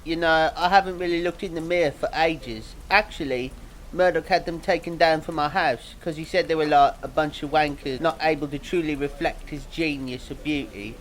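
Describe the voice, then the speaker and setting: A man's voice: on the high side, fairly even intonation, normal speed, fairly bright tone, a little hiss or hum, close to an everyday voice, poor audio. A man voicing a dim, earnest cartoon pop singer, rambling vaguely through a mock interview. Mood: self-important, earnest. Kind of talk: mock interview fan dub.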